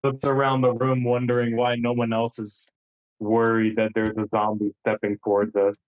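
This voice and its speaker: A man chatting casually as a tabletop game player, halting and often giggly. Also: mid-range voice, completely flat delivery, narrow, tinny audio.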